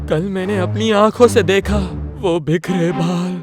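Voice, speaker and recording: Frightened village man played by a male actor, cartoon horror. Strikingly high, slow delivery with music behind. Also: steady tone. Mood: fearful. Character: anxious, frightened village men in a horror tale.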